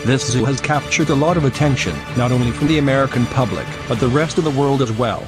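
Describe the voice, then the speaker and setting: A man's voice: mid-range voice, fast talker, over music, close to an everyday voice. A man playing a breaking-news anchor, reading scripted mock TV news in a formal, composed way.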